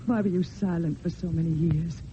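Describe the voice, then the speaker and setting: An actress playing a tender, grieving lady in an old-time radio play, speaking in earnest, melodramatic, measured phrases. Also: fairly low pitch, steady tone, mellow, a little hiss or hum, close to an everyday voice. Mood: realizing, insistent. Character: tender, grieving lady who has long mourned her love. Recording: muffled old radio, music.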